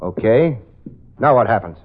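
A man's voice: medium pitch, expressive, a little slow. A man playing a breezy, wisecracking detective in a 1940s radio drama, both in scenes and as narrator, on a lo-fi old radio recording with music.

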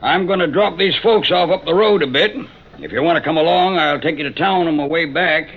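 A folksy backwoods American cab driver, sly but eager to oblige. His voice is creaky and a little high, with a colloquial drawl. It comes through a muffled, hissy vintage radio drama recording. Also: fairly quick. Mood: matter-of-fact. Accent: rural American. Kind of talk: 1940s-50s radio drama acting.

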